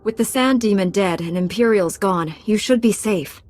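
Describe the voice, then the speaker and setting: A woman's voice: mid-range voice, moderate pace, darkish tone, dry recording, natural voice. Actress as resolute female Jedi, mid-range voice, composed, direct, firm, video game dialogue.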